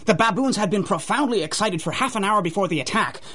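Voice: medium pitch, expressive, fast talker, bright, forceful tone, breathy edge. A performer playing an earnest, fussy zoo keeper in a 1930s-style radio drama, prim, informative and a little hesitant. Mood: earnest recalling.

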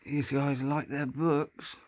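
A man's voice: medium pitch, flat delivery, takes it slowly, a little mellow. A man giving casual, sweary exploring commentary, muttering to himself and to viewers on a handheld recording indoors. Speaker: urban exploration vlogger. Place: indoors in a cluttered, dusty abandoned house. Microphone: handheld phone or camera. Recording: noisy handheld recording with clicks.

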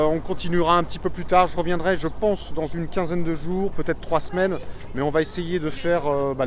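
A man's voice: fairly high pitch, a little hiss or hum. Upbeat man vlogging outdoors by phone. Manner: upbeat, enthusiastic, explaining as he goes. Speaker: vlogger doing bus repairs. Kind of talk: casual selfie vlog walkthrough. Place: outdoors in a rural yard beside a bus. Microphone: phone camera at arm's length.

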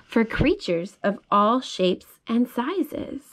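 A young mother reading a picture book aloud to her toddler at bedtime, slow and sing-song, asking coaxing questions about the pictures. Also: a little high, sing-song. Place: child's bedroom at home. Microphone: phone held above the reader's lap.

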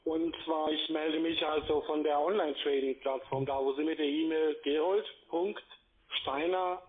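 Husky phone salesman, muffled line. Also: fairly high pitch, fairly even intonation, normal speed. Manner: wordy, repetitive, insistent. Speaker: telephone sales agent. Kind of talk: cold-call sales pitch. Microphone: telephone.

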